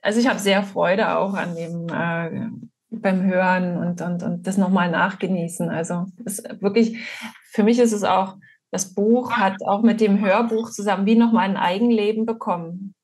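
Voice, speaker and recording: Husky woman chatting on a video call. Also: medium pitch, fairly even intonation, moderate pace, darkish tone. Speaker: author, guest. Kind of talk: casual conversation. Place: indoors at home.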